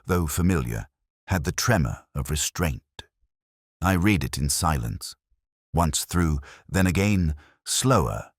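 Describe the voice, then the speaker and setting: A man playing a brooding, world-weary police inspector who narrates his case in a measured, sombre, wry literary style. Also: very deep voice, expressive, dry recording, studio quality, heavily acted. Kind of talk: literary first-person detective drama narration.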